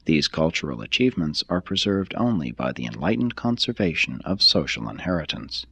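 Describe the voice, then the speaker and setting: A man reading a formal text aloud as scripted narration, in a measured, deliberate manner. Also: very deep voice, big pitch swings, vocal fry, bright, forceful tone, husky, dry recording. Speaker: audiobook reader.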